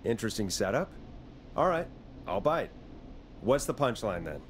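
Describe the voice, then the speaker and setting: A man's voice: fairly low pitch, very animated, moderate pace, slight background noise. A man playing a jaded, sarcastic late-night radio DJ in a video game. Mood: skeptical, irritated.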